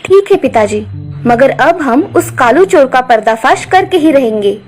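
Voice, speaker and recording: Casting: woman as earnest young village girl, high voice, curious and resolute, cartoon moral tale with music. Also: expressive, a little slow, a little mellow. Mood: earnest, determined. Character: bright, determined village girl.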